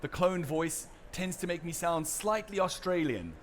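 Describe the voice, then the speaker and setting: South African male presenter, conference stage, relaxed, filler-heavy delivery, faint hiss behind. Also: a little high, measured pace. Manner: casual and chatty, many fillers and restarts. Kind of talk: conference seminar talk with audience questions.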